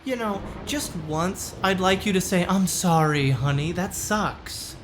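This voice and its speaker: An actor playing a frustrated, earnest husband in a musical audio drama, speaking in a pressing, wounded way. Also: male voice, sits high, a little slow, noisy background. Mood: hurt, frustrated.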